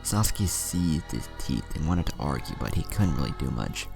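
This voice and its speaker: A man's voice: rumbling low, sing-song, normal speed, creaky. A man reading scripted fan fiction as a narrator, voicing the dialogue as he goes, with music behind him.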